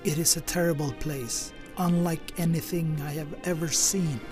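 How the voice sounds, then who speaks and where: An actor playing a solemn, fearful sailor narrating with dread in an old-time radio drama. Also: male voice, on the high side, fairly even intonation, music in the background, natural voice. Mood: awed dread. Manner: slow, solemn, portentous.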